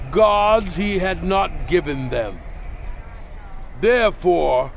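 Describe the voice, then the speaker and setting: Slow, high-pitched solemn prophet. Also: male voice, creaky, slight background noise, heavily acted. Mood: grave, impassioned. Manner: declamatory, emphatic, weighty pauses. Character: solemn prophet leader warning his people, stern and fervent. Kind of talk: dramatized scripture reading, oratory. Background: music bed.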